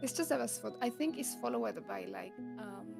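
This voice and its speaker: A woman's voice: fairly high pitch, expressive, normal speed, vocal fry. Giggly woman, music behind. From Argentina. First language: Spanish. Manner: giggly, chatty, laughs often. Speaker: guest. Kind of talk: casual stream chat.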